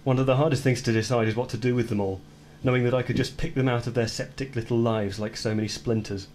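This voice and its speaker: An actor playing a brooding man who confesses violent fantasies of retribution in a deliberate, intense radio play monologue. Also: medium pitch, steady tone, darkish tone, slight background noise, natural voice. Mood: grim, vengeful.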